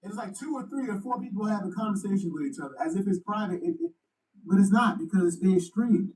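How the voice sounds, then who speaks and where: A man's voice: high-pitched, fast, darkish tone, recorded from a distance, rough recording. A man giving loose, slangy commentary as a YouTuber, often repeating himself as he thinks. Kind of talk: casual commentary.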